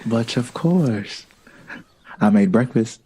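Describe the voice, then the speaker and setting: An actor playing a witty, urbane jazz composer in an audio drama, proud and wistful with an arch, playful manner. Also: male voice, mid-range voice, animated, takes it slowly, dark tone, slightly rough.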